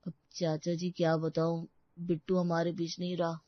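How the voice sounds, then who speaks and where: A mild, polite grown son from an audio story, voiced by a woman. The voice is a little low and hesitant. The recording is dry and slightly dull. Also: monotone, moderate pace, no room sound, lightly acted. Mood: subdued, hesitant. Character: mild, respectful adult son. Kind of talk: Hindi audio story dramatization.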